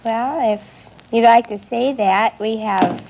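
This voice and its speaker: A woman's voice: fairly high pitch, slow, darkish tone, lo-fi sound. A nineteen-year-old American woman from California, answering a quiz show host's questions as a contestant. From California, United States. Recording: noisy vintage broadcast.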